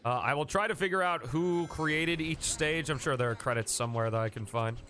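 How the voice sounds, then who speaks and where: A man's voice: fairly high pitch, a little fast, pushed and bright, soft background music. A man live-streaming a game, chatting casually and thanking viewers by name, a bit sniffly from a coming cold.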